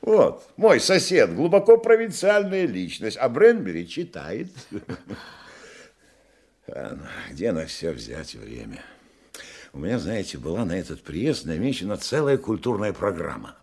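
An actor playing a talkative, cultured provincial hotel guest in a Russian radio play, exclamatory and slightly pompous. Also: male voice, fairly low pitch, huge pitch swings, unhurried, darkish tone, slightly rough, full character voice. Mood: chatty, self-assured.

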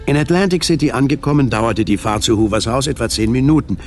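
A man's voice: medium pitch, fast talker. A man reading scripted literary narration as the narrator of a radio drama. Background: music.

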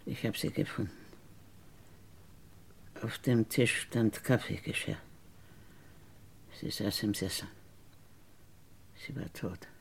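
A bitter woman who insists she was wrongly convicted, in a radio crime drama. Her low voice is husky and creaky. She speaks slowly and deliberately, insinuating and sometimes sinking toward a whisper. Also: darkish tone, heavily acted. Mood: bitter, brooding.

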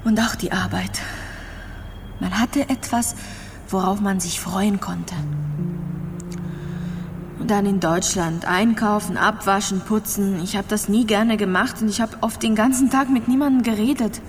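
Radio drama role: actress as dying immigrant woman, mid-pitched, wistful, resigned, halting and dreamy, music underneath. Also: fairly even intonation, close to an everyday voice. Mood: nostalgic, bleak. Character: gravely ill Kazakh-born immigrant woman, wistful and resigned. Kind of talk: radio drama dialogue and monologue.

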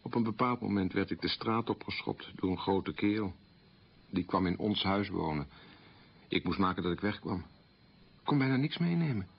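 An actor playing a sombre man recalling an abandoned wartime childhood in short, plain statements, in a low, husky voice for a radio play. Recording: dull lo-fi radio recording.

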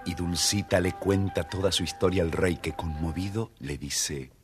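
A man's voice: deep voice, moderate pace, music in the background. A man narrating a children's story dramatically, addressing his young listeners directly.

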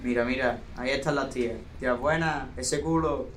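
A man's voice: mid-range voice, steady tone, normal speed, a bit bright, a little hiss or hum, lightly acted. A teenage male student with an Andalusian accent playing a brash, goading young footballer in an amateur radio play. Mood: leering. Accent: Andalusian Spanish. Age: teens. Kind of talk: amateur radio drama acting.

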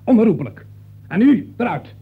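An actor in a Dutch radio play, playing an irritable, rattled writer who talks in a curt, exasperated way. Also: male voice, high voice, big pitch swings, normal speed, slight background noise. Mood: firm, exasperated. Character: rattled, irritable male novelist, curt and weary. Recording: muffled phone-like old radio recording.

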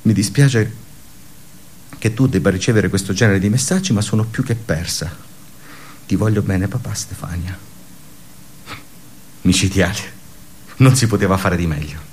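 A male actor plays a sardonic, brooding office worker with a lowish voice. He delivers a theatrical radio monologue, weighing his words with pauses and bitter emphasis. There is some faint noise and ambience behind him. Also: a little mellow, close to an everyday voice. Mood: subdued, resigned.

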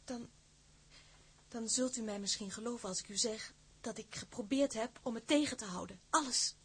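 An actress playing a timid, lovelorn young fiancée in a period drama, halting, formal and emotional. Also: female voice, on the high side, fairly bright tone, breathy edge, full character voice. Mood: anxious, tearful. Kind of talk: Dutch period radio drama acting.

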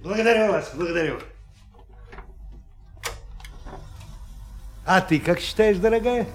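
A man's voice: high-pitched, fast, lightly acted. An actor playing a vain, argumentative middle-aged TV commentator and father in an audio play, pompous and given to repeating himself. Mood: self-satisfied, fishing. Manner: pompous, stammering repetitions when arguing. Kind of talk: Russian audio play acting.

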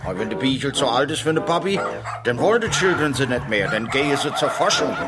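A man's voice: mid-range voice, loud music behind the voice, close to an everyday voice. A man playing a chatty immigrant worker with a foreign accent and broken, English-sprinkled speech in a radio drama. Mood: explaining, wry. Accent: foreign, broken German. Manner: animated, halting grammar sprinkled with English. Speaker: actor. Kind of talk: German radio crime drama acting.

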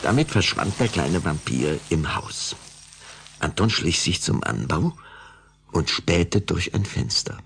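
Ein Mann mit rauer, heiserer Stimme erzählt als Erzähler eines Kinderhörspiels eine Vampirgeschichte, dramatisch und nach Skript. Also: male voice, sits low, sing-song, takes it slowly, fairly bright tone, slight background noise, heavily acted. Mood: suspenseful narration. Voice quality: gravelly, husky. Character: storyteller of a children's vampire tale. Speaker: narrator. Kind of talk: scripted dramatic narration.

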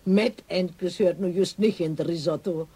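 An actress playing a self-assured, defensive grown daughter in a radio drama, plain-spoken with a Low German accent. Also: female voice, measured pace, warm tone, slightly rough. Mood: evasive, fond.

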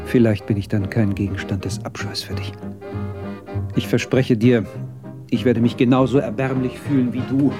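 An actor in a radio drama playing a sardonic failed film director, needling with sarcastic mock affection. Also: male voice, mid-range voice, fairly even intonation, normal speed, over music, natural voice. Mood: bitter sarcasm.

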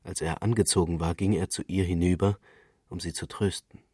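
Deep husky man reading literary fiction. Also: steady tone, brisk, mellow, no room sound, studio quality. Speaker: narrator. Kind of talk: literary scripted narration, radio reading.

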